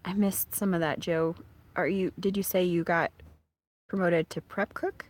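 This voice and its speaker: A woman with a slightly low, slightly raspy voice talks casually to viewers on a live stream from her phone outdoors. She sounds congested from allergies and keeps her voice hushed, often trailing into near whispers. She is originally from Minnesota. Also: fairly even intonation, a little slow. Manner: soft-spoken, often hushed, laughing to herself. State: congested from allergies after a chest cold. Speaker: livestream host. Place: outdoors during a thunderstorm. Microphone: phone held outdoors.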